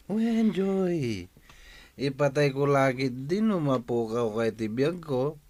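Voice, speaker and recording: An actor in a radio drama playing a drunken, possessive young husband with slurred, drawling speech. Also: male voice, medium pitch, animated, slow, a little mellow. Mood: drunk, jealous. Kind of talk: Ilocano radio drama acting.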